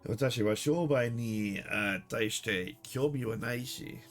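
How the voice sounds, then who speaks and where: A man's voice: medium pitch, soft background music, close to an everyday voice. Man reading as a weary shopkeeper wife. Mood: wistful. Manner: reads haltingly, slowly, line by line. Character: weary female shopkeeper, a wandering mapmaker's wife.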